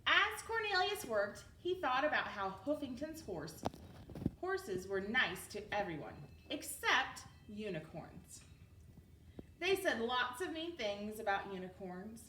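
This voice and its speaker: A woman reading a children's storybook aloud like a teacher, with expressive delivery. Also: on the high side, slow pace. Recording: roomy, distant mic with background noise.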